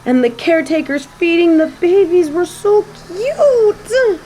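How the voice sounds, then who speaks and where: A woman playing a snobby but secretly soft fallen angel in a scripted audio roleplay, with prim, formal phrasing. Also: very high-pitched, lively intonation, takes it slowly, a little hiss or hum, full character voice, poor audio. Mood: awed, chatty.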